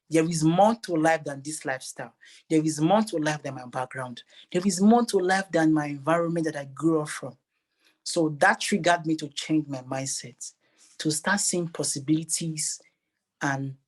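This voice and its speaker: A man's voice: fairly high pitch, moderate pace, a little mellow. A man with a Nigerian accent speaking earnestly and emphatically as a motivational interview guest, repeating phrases for effect.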